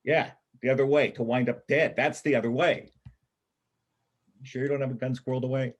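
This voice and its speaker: A man performing a reader's theater part as a gruff, cynical bank robber and combat veteran, blunt and colloquial in his talk. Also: medium pitch, steady tone, a little fast, natural voice. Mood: heated, insistent. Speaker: amateur church play performer.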